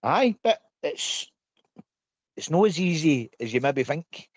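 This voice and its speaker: A man's voice: a little high, big pitch swings, slow, no echo. A Scottish man chatting as a guest on a fan radio phone-in, laughing and saying man a lot. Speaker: regular guest. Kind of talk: casual phone-in chat. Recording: dry, muffled phone line.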